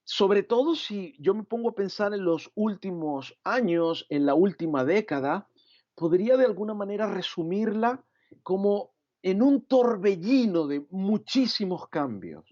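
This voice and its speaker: A man's voice: high-pitched, expressive, a little slow, darkish tone. Venezuelan man preaching, dull lo-fi sound. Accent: Venezuelan Spanish. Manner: reflective, frequent "eh" and "¿no?" tags. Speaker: pastor. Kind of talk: sermon.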